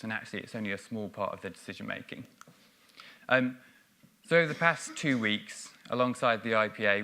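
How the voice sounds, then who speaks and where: A man's voice: lowish voice, bright, forceful tone. A man giving a business conference presentation on stage, explaining ideas with frequent ums and restarts. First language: English. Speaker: conference speaker.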